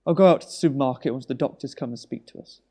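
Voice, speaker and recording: An actor playing an anxious, weary husband in a naturalistic audio drama, speaking in a wry, hesitant, conversational way. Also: male voice, mid-range voice, rapid-fire, warm tone, lightly acted. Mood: weary, grumbling.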